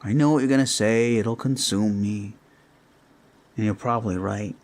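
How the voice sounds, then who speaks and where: A man voicing an emotional, protective father and adventurer in an improvised fantasy roleplay, speaking in a halting, heartfelt way. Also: fairly low pitch, a little mellow, slight background noise, close to an everyday voice. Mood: fierce, proud.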